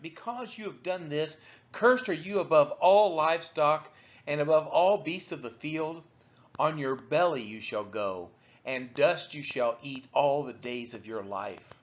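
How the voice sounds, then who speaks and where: Man, scripture read-aloud, fairly high voice, deliberate short phrases, slightly muffled lo-fi sound. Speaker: Bible reader for a church's daily read-along.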